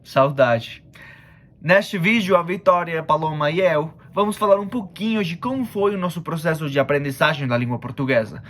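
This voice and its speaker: A man's voice: on the high side, normal speed, fairly bright tone. A young man, a student, opens a class video with a friendly, informal introduction. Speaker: student presenter.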